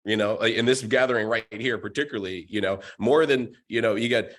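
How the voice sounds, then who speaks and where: A man's voice: on the low side, fairly quick, a bit bright. A man hosting an online group meeting, talking loosely with many fillers. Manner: rambling, many "you know" fillers. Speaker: meeting host. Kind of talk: casual group call chat. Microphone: video call.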